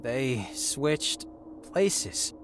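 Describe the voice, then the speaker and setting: An actor playing a young noble lord in a video game, subdued and serious. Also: male voice, medium pitch, sing-song, extremely slow. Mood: stunned. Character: young noble lord, earnest, shaken but resolute. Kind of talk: video game character acting. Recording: music clearly behind.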